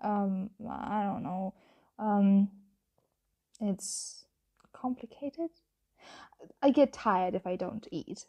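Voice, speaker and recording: Tired, secretive vampire roommate for ASMR roleplay, played by a woman: mellow, unhurried, hesitant and evasive. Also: mid-range voice, dull audio. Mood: evasive, weary. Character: flustered young female vampire roommate, tired, hungry, secretive. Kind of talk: ASMR roleplay, soft conversational acting.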